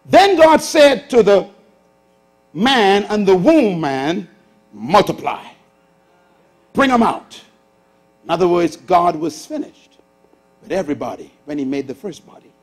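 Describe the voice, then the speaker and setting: A Bahamian man in his sixties preaching a sermon on a church stage, swinging from loud bursts to hushed asides. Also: sits high, sing-song, a little slow, long pauses. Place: large church auditorium stage with audience.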